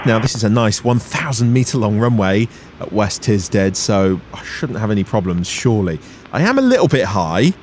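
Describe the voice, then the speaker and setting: A man's voice: mid-range voice, slight background noise. A man in his thirties with an English accent gives a conversational, explanatory voice-over as a vlogging pilot, chatty and self-deprecating.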